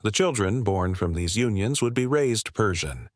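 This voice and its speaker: A man narrating a scripted history documentary in a grave, measured way, delivering short dramatic phrases. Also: fairly low pitch, expressive, dry recording, studio quality.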